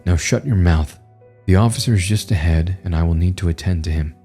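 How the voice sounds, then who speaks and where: A man's voice: low-pitched, brisk, mellow, soft background music. A man voicing a cold, formal body-snatching entity. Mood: cold commanding. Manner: formal, precise, contemptuous. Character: cold calm male parasite entity, formal and commanding.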